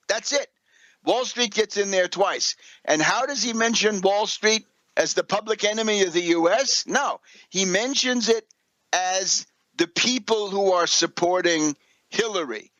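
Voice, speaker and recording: A male radio host delivers an off-the-cuff political monologue in a fairly high voice. He is sardonic and scornful, repeating his points for emphasis and throwing out rhetorical questions. The sound is very dry, with no room echo. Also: a bit bright. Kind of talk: unscripted political commentary monologue.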